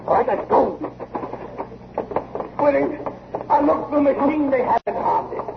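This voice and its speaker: A bold inventor gives urgent, clipped commands in 1950s radio drama style. The voice is very high and swings animatedly, through a heavily noisy vintage recording. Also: male voice, animated, a little slow, vocal fry, highs cut off, heavily acted.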